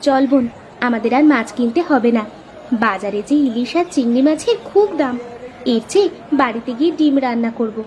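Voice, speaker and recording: A woman's voice: on the high side, animated, brisk, darkish tone, natural voice. A woman voicing a caring, dutiful young village daughter in an earnest, simple way for a moral-story cartoon. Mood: earnest, wistful.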